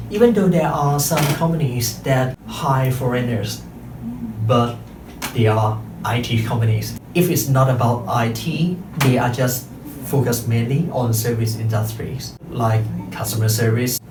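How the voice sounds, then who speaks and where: A man's voice: mid-range voice, recorded from a distance, background noise. Thai-accented man in an echoey room. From Thailand. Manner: careful, measured, frequent pauses and self-corrections. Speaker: interpreter and translator giving a talk. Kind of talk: prepared talk to an audience.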